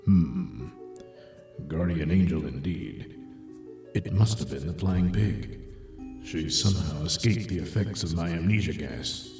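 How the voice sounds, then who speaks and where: A man voicing a pompous, brooding, stoic masked superhero with a deadpan manner in a comic audio cartoon. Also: deep voice, unhurried, reverberant, over music, pitched below the performer's own voice.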